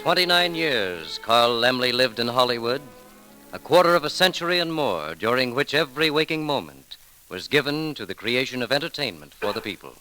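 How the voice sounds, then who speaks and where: An American male announcer speaks in dramatic 1930s radio style, theatrical and reverent. His voice is bright and forceful, swooping widely in pitch. Music plays softly around it on the old broadcast recording. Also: sing-song, a little fast, poor audio.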